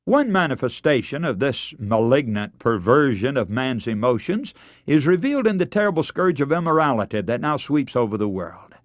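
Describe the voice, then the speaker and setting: American male preacher for a scripted radio sermon; formal and emphatic, widely varied intonation, mid-range pitch, very little room sound. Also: no room sound, studio quality. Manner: formal, emphatic oratorical delivery. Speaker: radio preacher and broadcast host.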